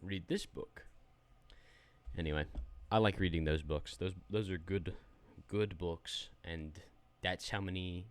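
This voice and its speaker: A man's voice: deep voice, a little slow. A young adult man giving a rambling, self-deprecating, unedited review of a phone app while sharing his screen.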